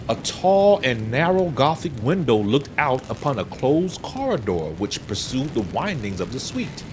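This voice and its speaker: A male narrator delivers a gothic horror story in a theatrical, literary style. His medium-pitched voice moves through a lively range of intonation. Music plays clearly behind him. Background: background music.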